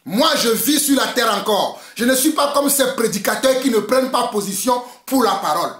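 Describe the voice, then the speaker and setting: A man's voice: extremely high pitch, a bit bright, reverberant. A man from Togo whose first language is Mina, preaching an emphatic, fiery sermon and repeating his points. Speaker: pastor.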